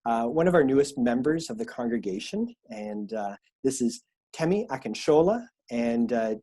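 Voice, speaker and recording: Mellow, halting man hosting a video-call interview. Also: medium pitch, husky. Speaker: pastor interviewing a new church member.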